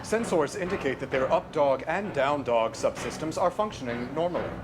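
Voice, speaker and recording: Deadpan alien officer played by a man, slightly high voice, formal, logical, stage parody, music behind. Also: normal speed, natural voice. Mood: deadpan, factual. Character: unemotional, precise, logical alien science officer.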